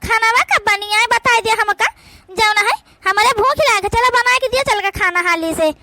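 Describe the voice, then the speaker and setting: Woman voicing a shrill, haughty young daughter-in-law. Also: normal speed, sharp tone, dry recording, studio quality. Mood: impatient. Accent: Awadhi. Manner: bossy, defiant. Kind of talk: amateur cartoon voice acting.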